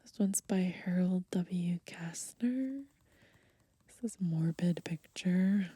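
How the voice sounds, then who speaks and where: A young woman whispering in a hushed, breathy voice for ASMR, unhurriedly reading from a book and adding gently musing asides. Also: lowish voice, slow pace, no room sound. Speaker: ASMR host. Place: outdoors on grass.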